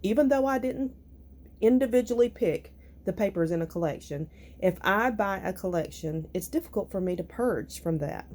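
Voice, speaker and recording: A woman with a raspy, nasal, hoarse voice, talking through her crafting clean-out in an unhurried, thinking-aloud vlog. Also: medium pitch, expressive, darkish tone. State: recovering from illness, hoarse. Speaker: crafting vlogger. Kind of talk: casual vlog talk and voiceover.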